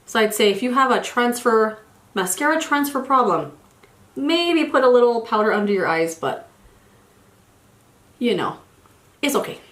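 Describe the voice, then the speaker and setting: A woman's voice: on the high side, expressive, normal speed, pauses often, a bit bright, echoey room. A woman in her forties chatting casually while doing her makeup, a little congested after a cold, rambling and restarting her sentences. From United States. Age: 40s. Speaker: beauty vlogger. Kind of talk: casual get-ready-with-me chat.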